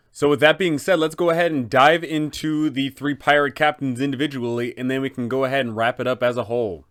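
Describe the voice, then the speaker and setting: A man's voice: medium pitch, fairly bright tone, clean tone. A man hosting an explainer for game masters, giving guiding commentary on role-playing. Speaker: host, tabletop game guide.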